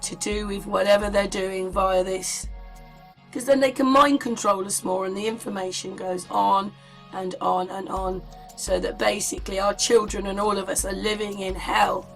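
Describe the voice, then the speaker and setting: A woman's voice: medium pitch, fairly even intonation, moderate pace, vocal fry, fairly bright tone, faint music behind. A woman presenting in a casual running commentary, with informal, exclamatory asides.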